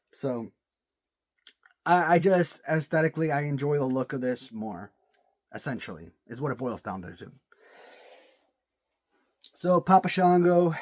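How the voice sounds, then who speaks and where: Chatty man with a sore, cracking voice. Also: fairly high pitch. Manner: chatty, repeats words while thinking. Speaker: toy collector reviewing action figures. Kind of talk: casual video commentary.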